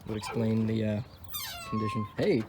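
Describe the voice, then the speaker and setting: A young man talking casually while he works over a cheap car, thinking out loud and tossing in sarcastic jokes. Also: on the low side, expressive, normal speed, background noise. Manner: wisecracking, sarcastic asides; offhand, thinks aloud while working on a car. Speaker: car vlogger. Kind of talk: casual vlog commentary.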